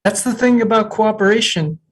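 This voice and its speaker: Actress as earnest co-op worker, husky, medium-pitched, sitcom table read. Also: female voice, a little fast, lightly acted.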